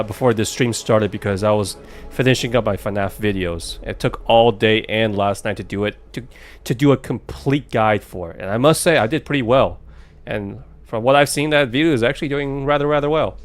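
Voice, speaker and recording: A man's voice: mid-range voice, normal speed, soft background music. A man streaming a game with casual commentary, musing aloud and chatting with his viewers. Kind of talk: casual let's play commentary.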